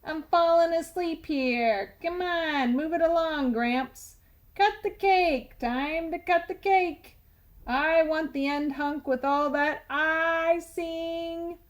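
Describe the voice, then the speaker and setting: Woman acting a storybook talking tooth, very high-pitched, brash, bossy, nagging, candy-crazed, drawn-out demands. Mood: impatient, pushy.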